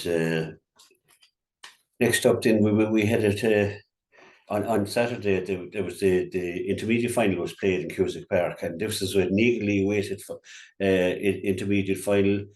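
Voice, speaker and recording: An Irish man giving an unscripted sports match review as a radio pundit, halting and repeating his words as he goes. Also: low voice, darkish tone. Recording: roomy, echoey sound.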